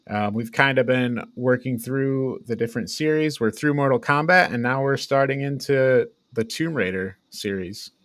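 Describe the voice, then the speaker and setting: A man's voice: mid-range voice, moderate pace, few pauses, fairly bright tone, no echo, clean studio sound. A man hosting a casual movie review chat. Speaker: podcast host.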